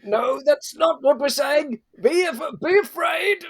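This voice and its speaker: A man playing a doomed, noble half-slime creature, speaking dramatically and haltingly in improvised audio comedy. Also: extremely high pitch, animated, a bit bright, voice pushed up, heavily acted. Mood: ominous.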